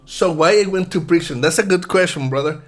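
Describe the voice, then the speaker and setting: A man in his thirties from Puerto Rico, with a Puerto Rican accent, talking in a halting way with many restarts while trading on a live stream. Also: on the high side, soft background music. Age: 30s. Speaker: futures trader guest.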